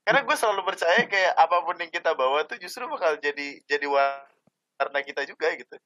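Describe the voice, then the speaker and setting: Bright-voiced laid-back young man. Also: a little high, lively intonation, a little fast, muffled recording. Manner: laid-back, jokey, says "santai" a lot. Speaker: podcast host. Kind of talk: casual chat.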